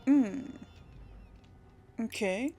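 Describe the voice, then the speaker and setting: A woman's voice: a little high, drawn-out words, mellow, soft background music. A woman doing casual game commentary and role-playing dialogue choices, musing aloud with little hums. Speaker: gamer narrating a role play series.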